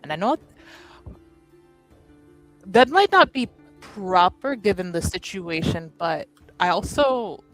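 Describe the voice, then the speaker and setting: A woman's voice: fairly low pitch, expressive, slow, pauses often, fairly bright tone, soft background music, poor audio. A woman playing a well-dressed young noblewoman in a tabletop game, snobbish yet earnest and polite, talking in a chatty, rambling way. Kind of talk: improvised in-character tabletop roleplay.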